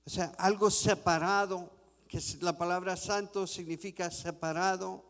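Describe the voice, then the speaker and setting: A man preaching a sermon in a church, reading scripture slowly and deliberately. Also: high-pitched, fairly even intonation, fairly bright tone, long reverb tail. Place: church sanctuary.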